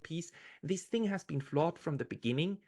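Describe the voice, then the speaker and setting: A man with a fairly high voice talks through political ideas in conversation. He is halting and repeats words as he searches for the next phrase. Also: animated, darkish tone.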